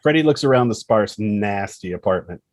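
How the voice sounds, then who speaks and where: A man's voice: fairly low pitch, lively intonation, moderate pace, no echo. A man narrating screenplay action and scene headings as a scripted table read. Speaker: narrator reading stage directions.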